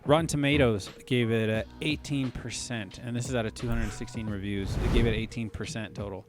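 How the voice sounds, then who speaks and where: A man's voice: mid-range voice, steady tone, a little fast. American man chatting casually over faint music. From California, United States. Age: late 30s. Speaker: podcast host. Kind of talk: casual podcast chat.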